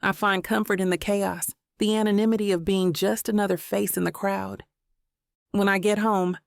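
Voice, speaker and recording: A woman's voice: medium pitch, gravelly, no echo, clean studio sound. A woman reading scripted first-person romance fiction as an audiobook narrator.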